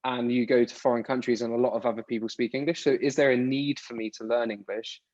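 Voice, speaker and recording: Man from the UK, 30s, chatty rambling guest, mid pitch, muffled lo-fi audio. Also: fairly even intonation, fast, a little mellow, no room sound. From United Kingdom. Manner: rambling, restarts words often. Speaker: podcast guest. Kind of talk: casual interview answers. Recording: dull lo-fi dry sound.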